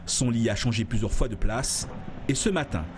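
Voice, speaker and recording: A man reading a news report voice-over in a measured, even tone. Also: medium pitch, noisy background.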